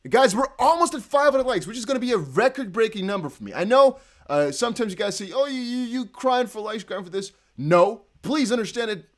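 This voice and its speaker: A young man with a Dutch accent hosting a casual livestream, reading chat aloud and breaking into excited whoops. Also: high voice, brisk. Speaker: crypto livestream host.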